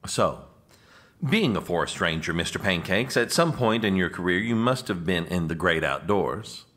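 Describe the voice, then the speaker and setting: An actor playing a long-suffering, exasperated political aide with a dry, weary manner in a comedic audio drama. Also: male voice, low voice, lively intonation, normal speed, fairly bright tone. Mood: dry, skeptical.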